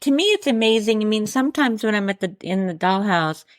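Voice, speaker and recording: A woman's voice: medium pitch, animated, brisk, dry recording, studio quality. A woman hosting a casual interview, chatting with her guest and asking questions. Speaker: podcast host, interviewer.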